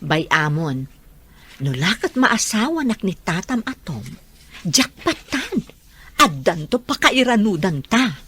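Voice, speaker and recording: A woman's voice: mid-range voice, very animated, slow, fairly bright tone, breathy edge, exaggerated voice. A woman playing a scheming, money-minded middle-aged mother in a Filipino radio drama.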